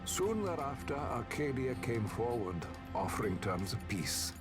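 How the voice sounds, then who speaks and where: An actor playing a solemn chronicler, giving formal, measured narration in a fantasy video game. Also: male voice, mid-range voice, big pitch swings. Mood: grave. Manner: grave, measured, eloquent. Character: solemn male chronicler narrating a fallen kingdom's war. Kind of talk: scripted narration, formal and literary. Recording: music behind the voice.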